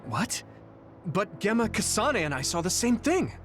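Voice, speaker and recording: A man's voice: high-pitched, sing-song, unhurried, a bit bright, faint music behind, full character voice. A man playing an earnest, straightforward young recruit in a video game. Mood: shocked. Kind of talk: English video game voice acting.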